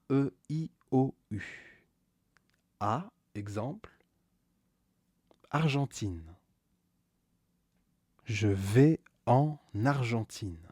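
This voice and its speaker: A man teaching a scripted beginner grammar lesson, slowly repeating each key word and stressing it with a rise. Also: medium pitch, a little mellow, no room sound, clean studio sound. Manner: slow, deliberate, repeats key words with stressed rises. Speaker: French teacher.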